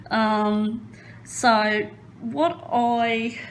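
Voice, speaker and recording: An Australian woman chatting nervously while she works on a craft, rambling with many pauses, fillers and small laughs, filmed indoors on a phone held over her hands. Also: on the high side, fairly even intonation, slight background noise, poor audio. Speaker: crafting vlogger. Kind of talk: nervous casual chat while diamond painting.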